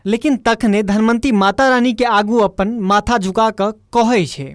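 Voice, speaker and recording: A woman's voice: on the high side, fairly even intonation, measured pace, no room sound. A woman with a Maithili accent narrating a scripted folk story as the storyteller.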